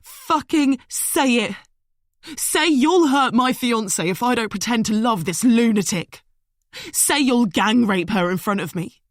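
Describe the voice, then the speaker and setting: A woman voicing a desperate captive young man who shouts raw, slow, bitter accusations. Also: mid-range voice, lively intonation, no echo, studio quality. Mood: furious.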